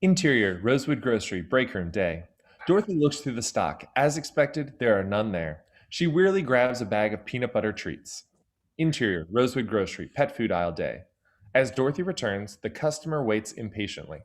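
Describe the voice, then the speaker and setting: A man's voice: lowish voice, sing-song, a little fast. A man reading scripted stage directions as the narrator of an audio drama.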